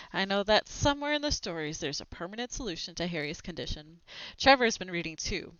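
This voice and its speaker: Animated woman reading a children's story aloud. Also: medium pitch, smooth, no echo, muffled recording. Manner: animated, showing pictures as she reads. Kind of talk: expressive children's book read-aloud with brief asides.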